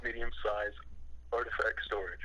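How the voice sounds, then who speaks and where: A young man talking casually, then reading aloud in a halting, self-conscious way over a voice call. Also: lowish voice, steady tone, bright, forceful tone, poor audio. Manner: hesitant, stumbling, self-conscious. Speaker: reader of creepypasta-style logs.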